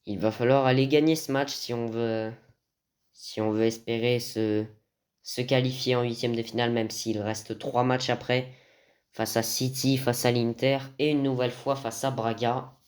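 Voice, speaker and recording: Male gaming host, medium pitch, hesitant football game commentary with frequent mid-phrase pauses. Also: steady tone.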